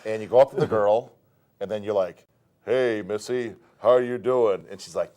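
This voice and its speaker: A man's voice: fairly low pitch, moderate pace, warm tone. A man appearing as a guest on a casual talk show, joking around. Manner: joking, puts on voices in stories.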